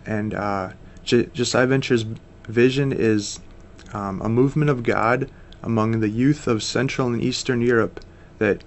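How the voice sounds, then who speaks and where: A man's voice: mid-range voice, unhurried, hardly any pauses. Earnest American man in his thirties. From United States. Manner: earnest, steady, with frequent "uh" fillers. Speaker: missionary guest. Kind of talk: casual interview talk.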